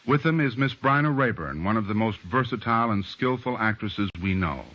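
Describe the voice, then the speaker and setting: A man's voice: fairly low pitch, expressive, normal speed. A man with an American accent hosting formally in 1940s radio announcing style. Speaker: radio show director and host. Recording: dull old radio recording.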